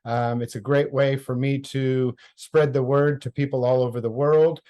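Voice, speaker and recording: Male host, friendly, measured delivery, online talk. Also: mid-range voice, fairly even intonation, dark tone.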